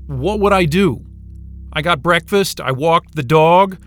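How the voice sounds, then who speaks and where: Nervous male scientist, audio drama. Higher-pitched, bright, tense voice; casual, stammering, defensive. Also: a little slow, soft background music. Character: evasive, nervous male astrophysicist at a polar station. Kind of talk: naturalistic audio drama acting.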